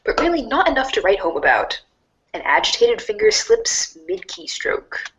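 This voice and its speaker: A woman playing a sassy storybook narrator who talks back to commands, expressive and sardonic in read-along style. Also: high-pitched, normal speed, gravelly, heavily acted, low-quality recording. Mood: dry.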